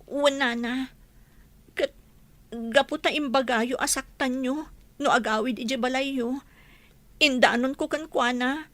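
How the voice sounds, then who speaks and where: A woman's voice: high-pitched, slow, long pauses, fairly bright tone, dry recording. A woman acting an anxious young woman confiding in her elder in a radio drama. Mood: apologetic, anxious.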